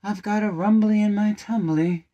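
A woman voicing a gentle, slow-moving male bear in a storybook reading, speaking mildly. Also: medium pitch, fairly even intonation, highs cut off, lightly acted. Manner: slow and mild.

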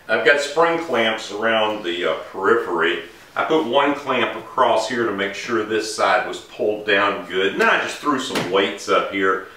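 A man's voice: lowish voice, noisy background. Middle-aged man in an echoey workshop. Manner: relaxed, explains each step while working. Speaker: woodworking instructor. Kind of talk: casual demonstration while working. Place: large woodworking shop indoors. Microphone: camera mic from a distance. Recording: echoey workshop, distant mic.

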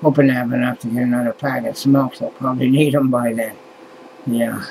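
Fifties man from Canada, tired rambling home vlog on a webcam, swears and sighs, soft hum of air conditioning. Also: medium pitch, fairly even intonation, brisk, gravelly. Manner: mumbling, sighing, swears often, trails off. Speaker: vlogger. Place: small cluttered room indoors at night. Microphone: webcam close to face.

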